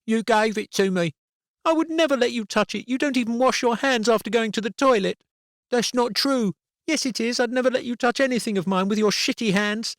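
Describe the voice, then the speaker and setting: A man's voice: very high-pitched, a little fast, fairly bright tone, no echo, studio quality, voice pushed up. A man voicing a young girl who is defiant at first and then tearful. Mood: snarling.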